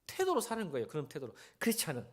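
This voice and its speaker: A man's voice: a little high, huge pitch swings, racing, breathy edge. A man preaching a church sermon as a pastor, conversational yet exhorting, often posing rhetorical questions straight to the congregation. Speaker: senior pastor. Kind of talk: church sermon with Bible reading.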